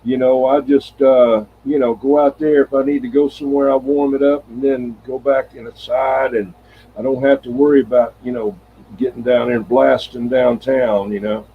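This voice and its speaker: A man's voice: medium pitch, steady tone, moderate pace, slight background noise. A man in casual, rambling, folksy conversation, hosting a CB radio live stream. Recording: dull, lo-fi CB radio sound.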